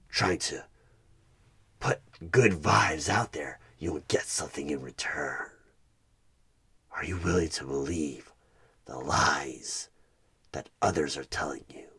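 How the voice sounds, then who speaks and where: A man playing a sinister, manipulative demonic job interviewer in a horror ASMR roleplay, with a gravelly growl that breaks into breathy whispers and a menacing, deliberate delivery. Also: extremely low pitch, a bit bright, heavily acted. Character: sinister male demonic tempter offering a corrupt deal. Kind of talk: one-sided horror ASMR audio roleplay.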